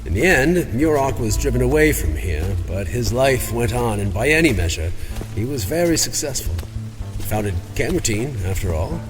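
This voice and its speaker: A man voicing a learned, dry and grim cleric who explains things deliberately in a dark fantasy audio drama. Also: mid-range voice, very animated, pitched above the performer's own voice, natural voice. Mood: grave, explanatory. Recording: music under the voice.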